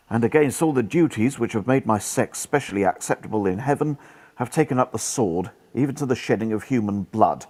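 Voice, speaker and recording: A man's voice: medium pitch, a little mellow, close to an everyday voice. A man playing a devout, earnest medieval friar in a radio play, speaking formally and solemnly. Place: actor's home, live remote recording.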